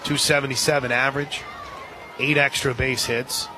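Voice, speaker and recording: A man calling softball play-by-play on the radio, dropping into casual banter between pitches. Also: flat delivery, moderate pace. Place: outdoor softball stadium broadcast position. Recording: outdoor stadium crowd and ambient noise. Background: crowd noise.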